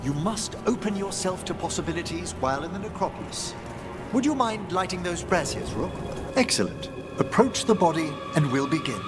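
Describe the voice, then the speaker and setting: A courteous older gentleman scholar of the dead in a fantasy game. His voice sits a little high and moves expressively up and down. He speaks formally and theatrically, with music underneath. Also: big pitch swings, normal speed. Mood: courteous, eager. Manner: formal, polite, precise, theatrical. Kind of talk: video game voice acting. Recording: music behind the voice.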